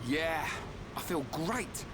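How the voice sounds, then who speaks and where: An actor playing a brash, loyal and straightforward young fighter in a video game. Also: male voice, on the high side, expressive, slow, noisy background. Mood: casual. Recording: game music behind voice.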